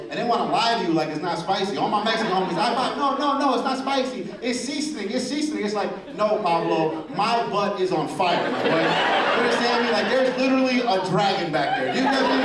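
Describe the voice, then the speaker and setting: A man's voice: high voice, heavy background noise. A young man doing a stand-up set, bantering with the crowd in brash slang. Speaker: stand-up comedian. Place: comedy club stage. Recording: roomy club sound from far off with loud crowd.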